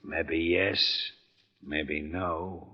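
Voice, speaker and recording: An actor playing a tough, scheming American convict in 1940s radio style, with slangy, needling gangster talk and a rough voice. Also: male voice, sits low, steady tone, very slow, full character voice. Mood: goading. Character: tough, scheming male convict goading his cellmate. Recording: muffled old radio recording, long hollow reverb.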